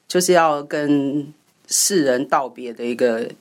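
A woman's voice: low-pitched, unhurried. A woman speaking as an interview guest, explaining her writing in a conversational, storytelling way. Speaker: guest, radio drama writer.

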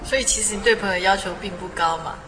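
A woman's voice: medium pitch, quick pace, fairly bright tone. A woman hosting a casual radio conversation, chatting and putting questions to her guest. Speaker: radio talk host. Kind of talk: casual interviewing chat. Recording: reverberant sound with background music. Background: music.

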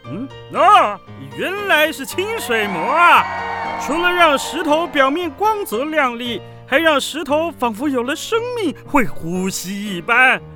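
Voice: high-pitched, sing-song, a little slow, music in the background. An actor playing a pompous, sentimental ancient male poet as a contest judge in a children's radio drama, speaking grandiloquently. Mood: awed, savoring. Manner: grandiloquent, savoring, prone to tearful rapture.

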